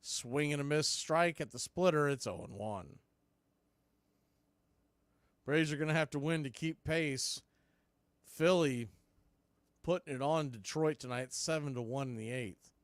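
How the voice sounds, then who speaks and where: A man's voice: fairly high pitch, unhurried, pauses often, clear, resonant tone, no room sound. A man doing live radio play-by-play of a baseball game as the announcer, in short clipped phrases. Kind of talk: live radio sports commentary.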